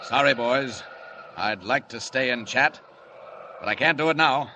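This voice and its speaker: A man's voice: medium pitch, a little slow, pushed and bright. A man playing a confident, commanding flying superhero in an old radio serial, speaking in a bold, declamatory way. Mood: confident, determined. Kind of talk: 1940s radio acting. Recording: noisy old radio recording.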